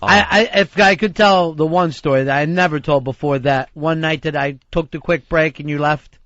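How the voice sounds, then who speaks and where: Male radio storyteller, high register, unscripted and salty, dull recording. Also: moderate pace, no room sound. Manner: profane, animated storytelling with acted quotes. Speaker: radio host telling a story.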